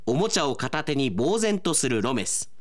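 A man's voice: mid-range voice, very animated, normal speed. A male announcer reading scripted narration for a radio drama.

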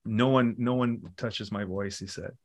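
A middle-aged man talking casually in an interview, relaxed and rambling, with many fillers and restarts. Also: lowish voice, unhurried. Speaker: musician and producer guest.

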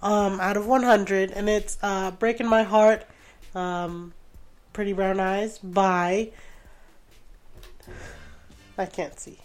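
Woman, 20s, from Rhode Island, podcast co-host, chatty and casual, mid pitch. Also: slow pace, long pauses, soft background music. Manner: chatty, animated storytelling with laughs and "like"s. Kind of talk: casual chat.

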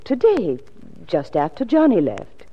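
A woman's voice: medium pitch, huge pitch swings, a little slow, warm tone, slightly rough, exaggerated voice. A woman playing a fussy, sympathetic boarding house landlady with an American accent, in 1940s radio drama style. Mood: flustered. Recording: muffled 1940s radio recording.

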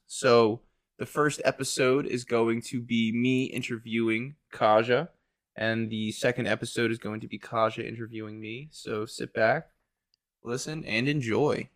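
A man's voice: mid-range voice, fairly even intonation, a little slow, fairly bright tone. A man with an American accent hosting a podcast and interviewing in a casual, chatty way.

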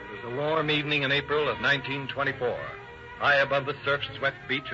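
A man announcing and narrating a 1930s radio show in a formal, declamatory, scripted style. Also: medium pitch. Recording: muffled old radio broadcast.

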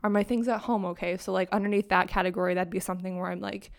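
A woman's voice: medium pitch, steady tone, quick pace, creaky. A young woman hosting her own podcast in a chatty, upbeat way, peppered with "like", her voice still sleepy from the morning. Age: young adult. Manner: chatty, upbeat, heavy use of "like". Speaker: podcast host. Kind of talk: casual solo podcast talk. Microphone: own microphone and laptop.

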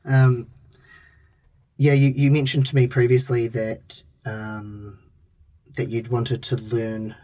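A man asking measured questions as a podcast interviewer. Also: medium pitch, flat delivery, dark tone, distant mic.

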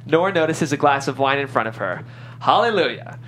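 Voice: medium pitch, animated, brisk, a bit bright, a little hiss or hum. A narrator reading scripted stage directions at a table read.

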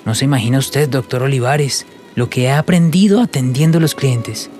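A man's voice: medium pitch, moderate pace, a little mellow, soft background music, natural voice. An actor playing a polite, ambitious young man in a radio soap opera, with a Colombian accent and a respectful, sincere manner. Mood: earnest, confident. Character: polite, earnest, ambitious young male student waiter.

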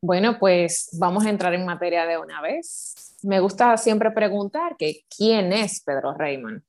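A woman's voice: on the low side, unhurried, darkish tone. A woman from the Dominican Republic hosting a podcast interview in an upbeat, affirming way. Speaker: podcast host, life coach.

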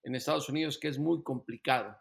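A man's voice: medium pitch, fast, gravelly. A man interviewing a guest, asking long, thoughtful questions with hesitations.

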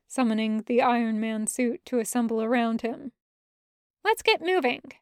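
A woman reading fan fiction aloud as expressive scripted narration. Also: fairly high pitch, clear, resonant tone, no room sound, clean studio sound.

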